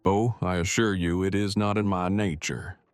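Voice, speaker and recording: A man's voice: low-pitched, fairly even intonation, moderate pace, no room sound, natural voice. A man playing an odd, pompous, brainy character in an audio drama, with stiff, formal, wordy delivery. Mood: formal, earnest. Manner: stiff, verbose, formal wording. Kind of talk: fan audio drama dialogue.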